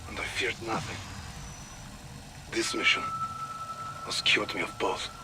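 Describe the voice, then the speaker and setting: A man playing a disillusioned special forces soldier with a Russian accent, sombre and deliberate in a game audio log. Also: steady tone, close to an everyday voice. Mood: weary, regretful. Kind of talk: dramatic audio log acting. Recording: noisy audio log with engine-like rumble.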